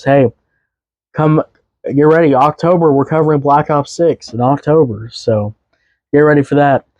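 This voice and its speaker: A young man with a somewhat high voice, a little hoarse from a worn-out throat. He rambles loosely through his opinions with plenty of fillers and restarts. The sound is dry and close, with no room around it. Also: darkish tone, no echo, rough recording. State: voice slightly hoarse. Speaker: podcast host and music reviewer. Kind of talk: casual music review monologue.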